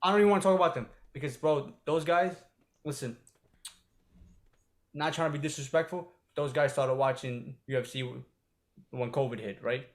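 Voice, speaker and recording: A man's voice: mid-range voice, normal speed, long pauses. A man co-hosting a sports podcast, talking loosely with lots of filler and swearing. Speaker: podcast co-host. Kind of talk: casual sports chat.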